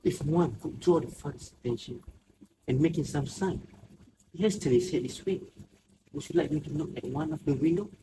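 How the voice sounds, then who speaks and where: A man's voice: on the high side, fairly quick, close to an everyday voice. A man playing a hesitant, uneasy stranger in an amateur stage drama, speaking tentatively. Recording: noisy ambient background. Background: clear ambient noise.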